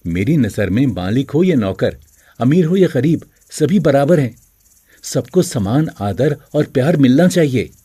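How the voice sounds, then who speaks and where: A kind young heir voiced by a man in a storybook drama, earnest and polite. His voice is husky and of medium pitch. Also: big pitch swings, a little slow, dark tone. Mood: earnest, defiant.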